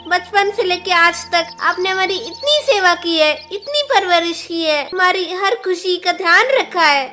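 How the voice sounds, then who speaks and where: Shrill, pleading young chick. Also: female voice, sharp tone, heavily acted. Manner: earnest, pleading. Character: young chick, needy but loving child of mother bird. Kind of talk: Hindi children's cartoon voice acting.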